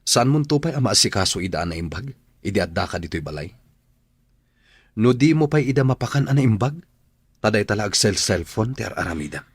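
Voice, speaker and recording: Low, husky, bitter husband. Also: male voice, big pitch swings, a little fast, long pauses, dry recording. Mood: angry, bitter. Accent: Ilocano. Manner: accusing, blunt. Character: bitter, stern husband throwing out his estranged wife. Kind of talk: Ilocano radio drama acting.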